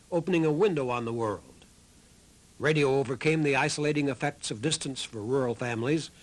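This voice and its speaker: A man reading scripted documentary narration in a measured storytelling way. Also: medium pitch, rough recording. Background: music.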